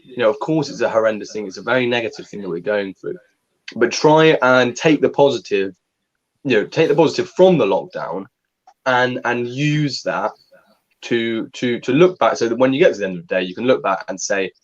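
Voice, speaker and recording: A young man co-hosting a casual livestream, talking in a calm, measured way and reading a listener's letter slowly. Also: on the low side, highs cut off. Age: young adult. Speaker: co-host.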